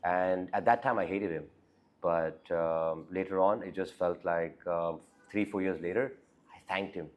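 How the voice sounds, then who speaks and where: A young man, raised in the Emirates, chatting openly as an actor guest in an interview, with a bassy voice and many restarts. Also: fairly even intonation, a little slow, highs cut off. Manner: frank, chatty, many restarts and "right?" tags. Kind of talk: casual interview chat.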